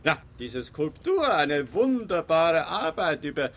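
An actor playing a gushing, pretentious art-loving neighbour in a radio comedy. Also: male voice, on the high side, big pitch swings, slow, fairly bright tone, exaggerated voice. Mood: rapturous.

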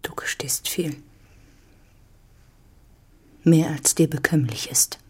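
A woman's voice: low-pitched, slow, husky, in a whisper, exaggerated voice. An actress playing a hard, domineering rich farm woman in a literary radio drama, stern, commanding and scornful. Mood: scornful, hushed.

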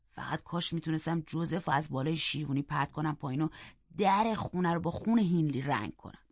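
A woman's voice: sits low, dry recording, lo-fi sound, pitched below the performer's own voice. A woman voicing a hot-tempered, defiant orphan boy telling a story in an audiobook, vehement and emphatic. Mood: bitter, defiant. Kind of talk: dramatic audiobook character narration.

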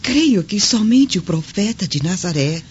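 A woman's voice: medium pitch, expressive, unhurried, a little mellow, natural voice. Woman playing a timid, devoted servant. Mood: hesitant, hopeful. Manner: hesitant, deferential. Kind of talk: radio drama acting. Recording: dull lo-fi audio under music.

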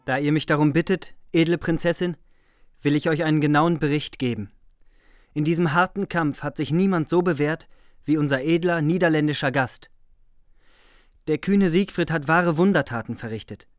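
A man plays a courtly messenger in a medieval radio drama. His fairly high voice is formal, proud and declamatory, recorded dry and close. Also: normal speed, no echo, close to an everyday voice. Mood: proud, admiring. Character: loyal messenger knight, proudly reporting battle to a princess. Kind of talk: German radio play acting.

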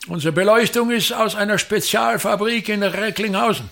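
A man's voice: high voice, moderate pace, creaky, fairly bright tone. An actor playing a pompous, contemptuous old male theater director in a radio drama, with an Austrian accent and a declamatory, scornful way of talking. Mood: complaining.

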